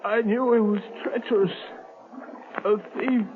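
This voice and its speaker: A faint, delirious captive. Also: male voice, high-pitched, steady tone, measured pace. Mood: desperate. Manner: faint, desperate crying out. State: exhausted. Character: weak, delirious male captive, crying for help. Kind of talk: 1940s radio acting. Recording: scratchy, tinny old radio broadcast.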